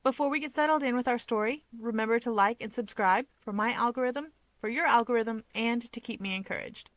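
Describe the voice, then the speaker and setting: A woman's voice: a little high, brisk, fairly bright tone, no echo. A woman hosting and narrating a story in a scripted read-aloud style. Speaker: channel host and fan fiction reader.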